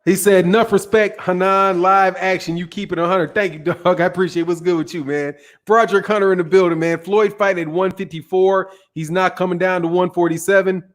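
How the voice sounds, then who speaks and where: A man's voice: high-pitched, steady tone, fairly quick, few pauses. A man hosting a casual boxing livestream, reading viewer comments and arguing in loose, slangy banter that keeps trailing off and restarting. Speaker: boxing channel host.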